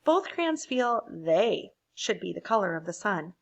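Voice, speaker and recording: A woman voicing a polite peacemaker crayon in a picture book, gently fed up. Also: medium pitch, sing-song, measured pace, creaky, heavily acted. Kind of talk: picture book read aloud.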